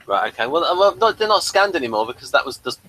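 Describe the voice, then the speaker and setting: A man with a bright, forceful voice chats casually as a regular on a review show. His pitch moves around in a lively way, while his delivery is hesitant and often self-correcting. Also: quick pace.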